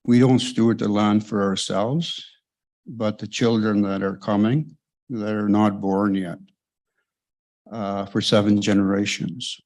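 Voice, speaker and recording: An older man, a native Cree speaker, speaking a measured opening prayer and reflection at a podium on a hall stage. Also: lowish voice, steady tone, a little mellow. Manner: slow, measured, pausing often. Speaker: elder giving an opening prayer. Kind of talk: slow spoken prayer and reflection. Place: large lecture hall stage. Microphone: podium microphone.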